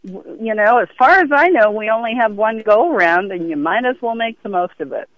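A woman's voice: a little high, lively intonation, fairly quick, no room sound. A woman in her sixties from Europe talking earnestly and conversationally as a caller to a radio show over the telephone. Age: 60s. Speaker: phone-in caller. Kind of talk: earnest conversational phone call. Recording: very tinny, band-limited phone line.

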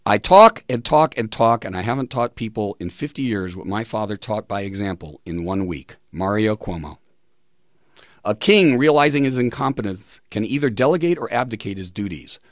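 A man hosting a radio talk show, reading aloud with pauses for emphasis in a studio, close to the microphone. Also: on the low side, expressive, dry recording. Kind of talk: reading listener tributes and quotes on a talk show.

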